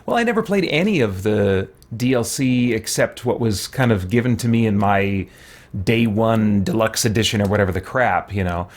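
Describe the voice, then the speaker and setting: A man's voice: fairly low pitch, moderate pace. A middle-aged man giving casual, conversational let's play commentary as a gaming streamer, rambling and thinking aloud.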